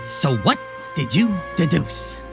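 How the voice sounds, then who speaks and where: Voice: mid-range voice, sing-song, takes it slowly, vocal fry, heavily acted. An actor playing a world-weary neighbourhood bartender with folksy wiseguy phrasing in a noir audio drama. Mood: curious, teasing. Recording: music bed under the voice. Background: music.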